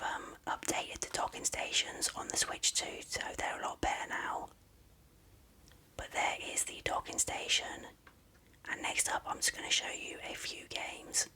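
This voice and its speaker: ASMR unboxing host: whispers throughout, gentle, rambling, in no hurry. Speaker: video host showing an unboxing.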